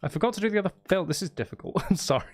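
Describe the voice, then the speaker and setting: Man thinking aloud, fry in his voice. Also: high-pitched, animated, racing. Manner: hesitant, self-interrupting, thinking aloud. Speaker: streamer making music live. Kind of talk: casual livestream chat while recording drums. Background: drum kit and music.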